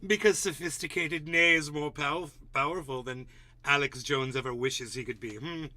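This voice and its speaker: A man putting on a pompous, snooty gentleman. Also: a little high, steady tone, normal speed. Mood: haughty.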